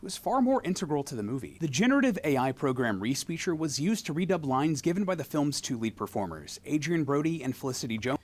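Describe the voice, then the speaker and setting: Lively, wry male narrator. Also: fairly high pitch, fast talker, few pauses, slight background noise. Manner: wry, sarcastic asides. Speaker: video essay narrator. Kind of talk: scripted narration.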